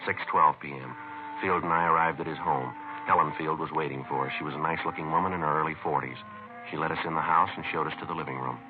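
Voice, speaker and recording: An actor playing a calm, matter-of-fact American police detective, clipped and deadpan, in old-time radio crime drama style. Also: male voice, extremely low pitch, quick pace. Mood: deadpan, factual. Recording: tinny vintage radio broadcast with music.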